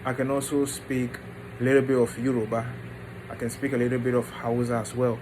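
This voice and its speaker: A man tells his personal story informally, in short halting phrases with pauses. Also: mid-range voice, flat delivery, noisy background. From Ghana and the United States. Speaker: vlogger introducing his book.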